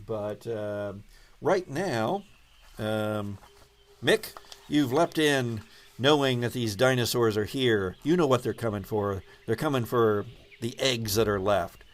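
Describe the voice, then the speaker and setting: A man acting as game master and host, improvising narration and explaining rules in a halting, thinking-aloud way. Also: mid-range voice, animated.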